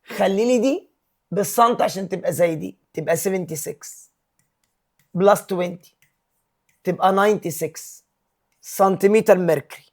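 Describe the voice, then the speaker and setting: A male physics teacher with an Egyptian Arabic accent, working through exam problems step by step and mixing English terms and numbers into his Arabic. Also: sits high. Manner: mixes English terms and numbers into Arabic, reads questions slowly.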